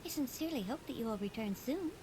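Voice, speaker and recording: A woman's voice: a little high, lively intonation, fast talker, background noise, natural voice. A woman voicing a dutiful, flustered small fey court clerk in a game. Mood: fretful. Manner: polite, flustered. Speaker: court assistant.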